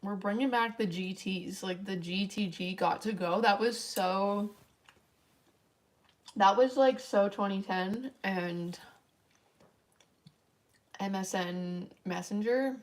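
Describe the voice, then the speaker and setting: A young woman with a raspy voice, tired and a little worn at a late hour, chatting in a rambling, filler-heavy way as she answers her stream's chat. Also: mid-range voice, slow, long pauses. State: tired, voice wearing thin late at night. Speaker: livestreamer. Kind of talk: late-night casual stream chat, reading chat aloud.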